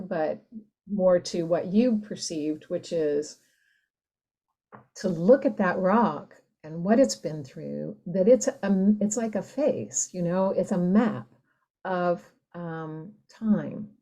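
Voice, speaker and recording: A woman speaking as a poet guest, explaining her craft informally and reflectively, pausing to choose her words. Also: on the low side, warm tone. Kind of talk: conversational explanation of writing craft.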